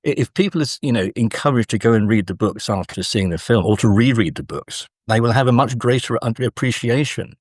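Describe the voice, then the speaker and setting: Husky man, academic guest. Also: mid-range voice, no room sound, studio quality. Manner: measured, hesitant, scholarly. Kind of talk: thoughtful literary interview discussion.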